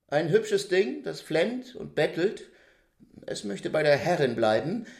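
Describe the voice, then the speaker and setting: A man's voice: on the high side, very animated, slow pace, a little mellow, pitched above the performer's own voice, heavily acted. A man voicing a leering, servile demon henchman in a German radio drama, drawling out announcements with a sneer.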